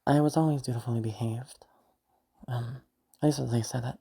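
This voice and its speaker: A woman playing a detached, eerily calm sociopath who recounts the past in a halting, musing monologue with a husky, creaky voice. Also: very deep voice, exaggerated voice. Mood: detached reminiscing. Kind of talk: first-person audio roleplay monologue.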